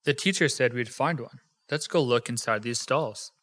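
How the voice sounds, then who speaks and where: A man's voice: mid-range voice, moderate pace, fairly bright tone, close to an everyday voice. A man doing an eager, confident disciple.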